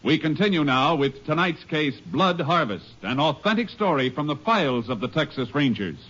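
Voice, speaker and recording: High-pitched vintage radio announcer. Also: male voice, big pitch swings, moderate pace. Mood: dramatic. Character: grand, dramatic radio show announcer. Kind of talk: 1940s-50s radio announcing. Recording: muffled old radio recording.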